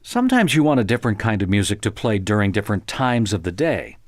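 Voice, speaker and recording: An American man narrating a software tutorial conversationally, explaining step by step in an informal, encouraging way. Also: lowish voice, sing-song, fast, dry recording, studio quality.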